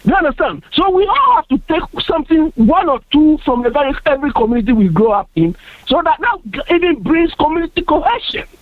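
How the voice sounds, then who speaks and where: A man's voice: very high-pitched, very animated, a little fast, dry recording. A Ghanaian man making his case on a radio panel discussion, earnest and emphatic, often repeating himself. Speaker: panel guest. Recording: dry tinny phone-like radio sound.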